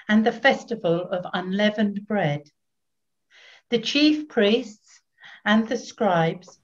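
An older woman reads scripture as a solemn narrator. Her pace is slow and deliberate, with expressive rises and falls. The webcam recording is a little dull. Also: medium pitch. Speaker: narrator (evangelist). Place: small room at home.

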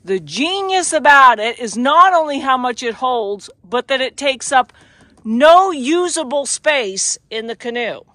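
A woman's voice: high voice, expressive, unhurried, sharp tone, no room sound. A woman who is a wilderness guide and show host, casually showing gear outdoors in the snow and telling a story in a plainspoken, folksy way. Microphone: camera mic, handheld at times.

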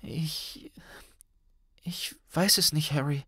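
A man voicing an earnest young witch, careful and gentle, in a fantasy audiobook. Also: on the high side, slow, husky, no room sound, clean studio sound, voice pushed up, exaggerated voice. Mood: unsure, hushed.

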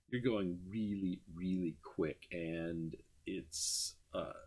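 Low-voiced man giving an informal lecture. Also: fairly bright tone. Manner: conversational, self-questioning explanatory delivery. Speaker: university music lecturer. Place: indoor room, screen-recorded lecture with webcam.

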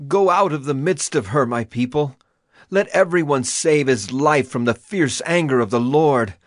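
A man's voice: fairly high pitch, moderate pace, dry recording, clean studio sound, natural voice. A man playing a solemn prophet proclaiming divine wrath in a declamatory, dramatized scripture reading.

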